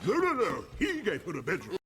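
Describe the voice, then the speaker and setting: An actor playing a hot-tempered, imperious beast in film dialogue, explosive and scornful. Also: male voice, sits high, huge pitch swings, brisk, fairly bright tone, husky, heavily acted. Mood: scornful outrage. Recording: noisy film clip audio.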